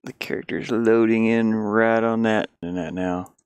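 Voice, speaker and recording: A man thinking out loud in casual livestream talk, muttering to himself as he works on a game. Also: lowish voice, steady tone, measured pace, smooth, no echo. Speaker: indie game developer streaming.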